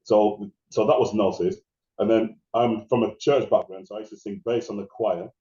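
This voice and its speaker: A middle-aged man with a deep, dark, mellow voice tells stories in a loose, rambling way, full of restarts. He sounds like a guest on a remote interview, and his voice rings in an echoey space. The recording sounds dull and lo-fi. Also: steady tone, moderate pace. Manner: rambling, restarts and laughs while telling stories. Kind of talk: casual interview conversation.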